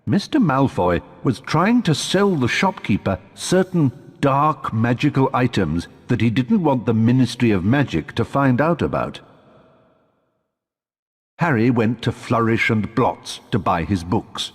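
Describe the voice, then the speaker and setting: A man's voice: mid-range voice, very animated, measured pace, slightly rough. A man reading scripted storybook narration in a recording with long reverb.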